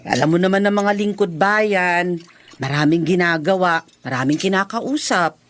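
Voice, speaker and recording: An actress playing a chatty, inquisitive and reassuring friend in a radio drama. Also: female voice, fairly low pitch, moderate pace, a little hiss or hum, close to an everyday voice.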